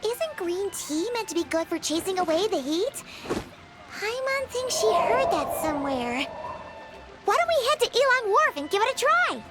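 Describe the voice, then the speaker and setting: A woman voicing a small, excitable, naive fairy companion in a video game, with a squeaky childlike voice and bubbly manner. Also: normal speed, over loud music. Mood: weary, chatty. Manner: bubbly, refers to herself in third person.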